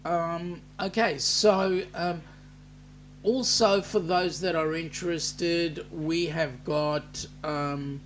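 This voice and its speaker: Casting: Australian man, live-stream host, easygoing and slow, slightly higher voice, with a faint hum behind him. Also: steady tone. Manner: unhurried, chatty, many ums, reads comments aloud. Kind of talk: casual live-stream chat reading viewer comments.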